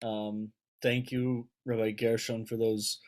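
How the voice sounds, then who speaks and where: A man's voice: lowish voice, level tone, takes it slowly, darkish tone. An American man in his thirties speaking informally on a video call as a rabbi and author, often repeating and restarting his phrases. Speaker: rabbi and author giving a book talk. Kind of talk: informal personal talk to an online audience. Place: indoors at home on a video call.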